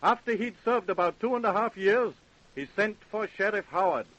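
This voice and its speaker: An actor as an earnest narrator, reading scripted narration of a crime case in an old-time radio drama. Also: male voice, sits high, normal speed, a bit bright. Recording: dull, lo-fi old radio recording.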